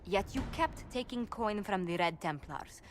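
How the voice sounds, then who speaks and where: A woman's voice: mid-range voice, lively intonation, a little slow, slight background noise, lightly acted. Game role: actress as diplomatic lady advisor, mid-range voice, courteous formal delivery. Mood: formal, poised. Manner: formal, polite. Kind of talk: dramatic video game acting.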